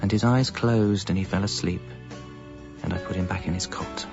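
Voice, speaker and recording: A man's voice: deep voice, steady tone, moderate pace, creaky. A man acting a guilt-ridden married father in a British radio comedy drama, in a British accent, with a wry, confessional manner. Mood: rueful, confessional. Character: guilt-ridden married father narrating his double life. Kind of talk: scripted first-person narration and acting in radio comedy drama. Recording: dull, muffled audio with music.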